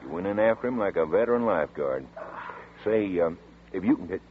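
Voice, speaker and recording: A man's voice: sits low, lively intonation, fairly quick, a little hiss or hum. An actor playing a plain-spoken male small-town sheriff in a 1940s radio drama, talking in a folksy, hesitant way. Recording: tinny lo-fi 1940s radio broadcast.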